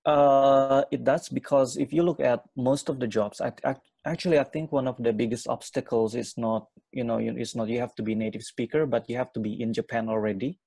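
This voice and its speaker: A young man from Indonesia, an English teacher, answering interview questions quickly with many fillers and restarts. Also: medium pitch, fairly even intonation, gravelly, mellow, breathy edge, no room sound. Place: small room at home.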